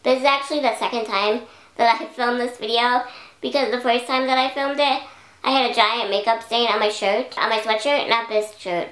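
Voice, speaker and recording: A woman's voice: on the high side, steady tone, normal speed, fairly bright tone. Chatty young woman vlogger, echoey room. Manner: chatty, rambling, many "like" fillers. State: slightly hoarse. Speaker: YouTube vlogger. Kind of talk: casual talk to camera.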